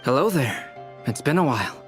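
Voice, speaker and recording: An actor playing a calm, courteous scholarly researcher in a video game, formal and measured. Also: male voice, mid-range voice, soft background music, lightly acted.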